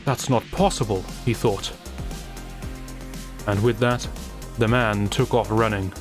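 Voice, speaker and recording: A man narrating a scripted story in a wry, theatrical manner with deliberate phrasing. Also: lowish voice, steady tone. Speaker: creator and narrator. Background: music.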